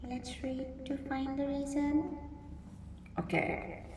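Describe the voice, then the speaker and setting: A woman reading a picture book aloud to young children, expressively, pausing to think aloud and ask them simple questions. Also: sits high, little pitch movement. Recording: roomy, distant mic, clear background noise.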